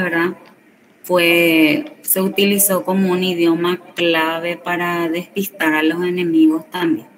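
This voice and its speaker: A woman teaching a class, explaining slowly and often checking that students follow. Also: lowish voice. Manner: slow explanatory, checks understanding with tag questions. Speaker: Guarani teacher. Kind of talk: classroom lesson. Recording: recorded from a distance with faint background noise.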